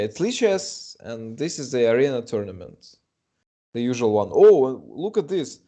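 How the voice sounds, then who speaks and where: A man's voice: medium pitch, dark tone. A young man with a Russian accent, a native Russian speaker, doing live chess commentary in English, chatty and hesitant with many fillers.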